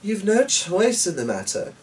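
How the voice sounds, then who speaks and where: Storybook noblewoman, played by a man: young, insistent, pitched up, drawn-out emphasis, recorded off-mic. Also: huge pitch swings, full character voice. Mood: urging, defiant. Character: spirited, insistent young noblewoman, daughter goading her father. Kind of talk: storybook dramatic reading. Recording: distant mic with faint noise.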